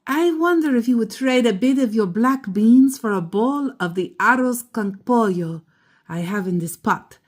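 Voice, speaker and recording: A woman's voice: a little high, animated, normal speed, pitched above the performer's own voice. A woman voicing a polite, friendly grandmother in a children's storybook, speaking courteously. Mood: polite, eager. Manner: courteous, storybook character delivery. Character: polite, friendly grandmother asking neighbours for trades.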